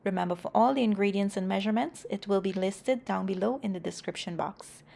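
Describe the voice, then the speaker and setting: A woman's voice: mid-range voice, fairly quick, gravelly, warm tone. A woman hosting a cooking video, explaining each step in an orderly, instructional voice-over. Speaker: cooking channel host.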